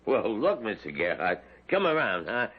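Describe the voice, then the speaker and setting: An actor playing a dim, brash, thuggish grown son in an old-time radio drama, slangy, crude and eager. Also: male voice, on the low side, wildly swooping pitch, moderate pace, breathy edge, full character voice. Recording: muffled vintage radio recording.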